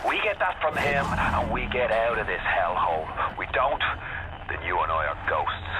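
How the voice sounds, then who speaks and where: An actor playing a streetwise, gruff Irish resistance leader in a video game, guiding over a radio with colloquial, conspiratorial talk. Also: male voice, lowish voice, lively intonation, moderate pace, heavy background noise. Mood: grim, determined. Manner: colloquial, folksy slang with dry cursing.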